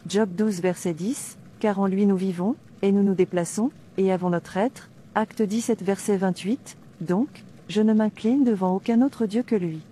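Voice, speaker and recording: A woman's voice: medium pitch, normal speed, few pauses, warm tone, slight background noise, lightly acted. A woman playing a devout, defiant princess in a dubbed cartoon, declaiming earnestly like a preacher. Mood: firm, devout. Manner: declamatory, earnest, citing scripture. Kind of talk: sermon-like dubbed cartoon dialogue.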